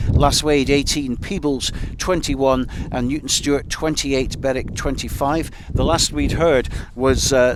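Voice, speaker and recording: Sports presenter over clear background noise. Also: male voice, medium pitch. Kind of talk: live results round-up, reading scores.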